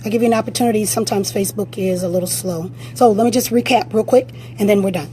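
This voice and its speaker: Raspy blunt woman fitness coach. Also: medium pitch, fast talker, mellow, slight background noise. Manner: blunt, direct, motivational. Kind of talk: live-stream coaching Q&A.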